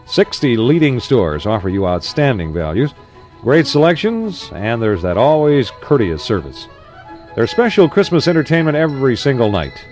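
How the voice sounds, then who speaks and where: A man's voice: medium pitch, very animated, moderate pace, a little mellow, faint music behind. An American man working as a 1960s AM radio DJ, reading announcements in a conversational announcer style with short asides. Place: radio studio.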